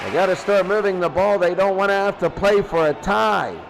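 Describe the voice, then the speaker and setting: High-voiced American football commentator, noisy man. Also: a little fast, creaky, crowd noise. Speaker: play-by-play commentator.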